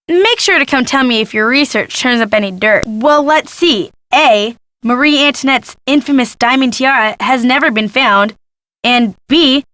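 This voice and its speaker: Game role: young woman photojournalist, nosy and sardonic, forceful bright tone, close dry sound. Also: a little high, no room sound. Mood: wry, teasing. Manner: gossipy, sardonic, dramatic emphasis. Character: gossipy, snarky young female photojournalist snooping for scandal. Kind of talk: video game character dialogue.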